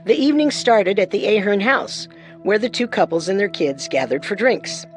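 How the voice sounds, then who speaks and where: A woman's voice: medium pitch, sing-song, moderate pace. A woman co-hosting a scripted true-crime show as narrator, with music underneath. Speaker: co-host and narrator.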